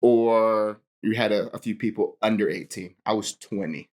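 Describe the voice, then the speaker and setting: A man's voice: fairly low pitch, steady tone, normal speed, a little mellow. A young man from Hawaii, a pastor and podcast guest, telling stories in a casual chat and laughing often.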